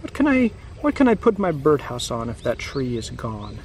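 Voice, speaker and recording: A man's voice: medium pitch, huge pitch swings, normal speed. A man in his thirties reading a picture book aloud for children outdoors, with simple phrasing, chatty asides and questions to young listeners. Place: outdoors in a grassy, wooded yard. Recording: outdoor camera audio with background noise.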